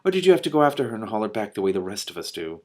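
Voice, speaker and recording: A man's voice: lowish voice, big pitch swings, racing, darkish tone. A man voicing a disgruntled, short-tempered male dragon from a storybook, curt and accusing. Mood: disgruntled, impatient.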